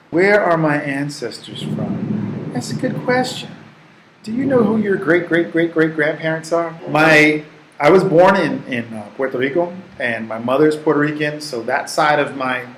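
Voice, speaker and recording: Relaxed reflective man in his forties. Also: medium pitch, roomy sound, slight background noise, poor audio. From United States. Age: 40s. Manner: relaxed, reflective, with fillers and restarts. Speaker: English teaching assistant. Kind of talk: answering interview questions to camera.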